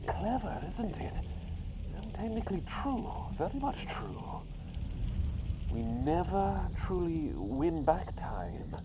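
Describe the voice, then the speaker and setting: A man's voice: high-pitched, slow pace, full character voice. A man voicing a playful talking bird doctor in an ASMR roleplay, posing riddles in a teasing, suspenseful way and repeating his phrases. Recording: clear steady background noise.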